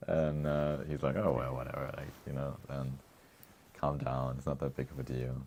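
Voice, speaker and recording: A man's voice: extremely low pitch, flat delivery, moderate pace, a little mellow, slight background noise. A man co-hosting a casual chat, reading viewer comments, rambling with frequent chuckles. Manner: rambling, chuckles often, restarts. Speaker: co-host.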